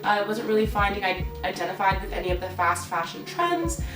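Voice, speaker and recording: A young woman talks easily as a guest, pausing often on "um". Her mid-range voice comes from a little way off in a roomy space, with music behind it. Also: mid-range voice. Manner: relaxed, hesitant with many "um"s. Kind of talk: casual chat. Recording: roomy echo, distant mic, background music. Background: background music.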